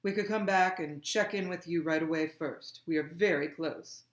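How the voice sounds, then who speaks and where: A woman voicing a composed woman carer in a storybook, speaking in an even, measured, diplomatic way. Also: natural voice. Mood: calm, diplomatic. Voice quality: deep, even. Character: calm, composed woman looking after children. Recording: echoey room.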